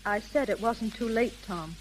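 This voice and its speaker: A young lady detective chief played by an actress speaks with earnest resolve in a rather high American voice. It is old-fashioned 1930s radio drama, with a light sizzle of surface noise over the recording. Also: moderate pace, close to an everyday voice, poor audio. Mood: earnest, tender.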